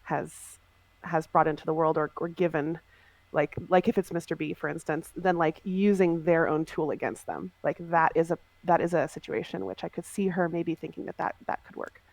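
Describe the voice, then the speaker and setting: A woman's voice: low voice, fairly even intonation, moderate pace, gravelly, a little mellow, no echo, poor audio. A woman player talking casually about a tabletop game, thinking aloud with many restarts and fillers.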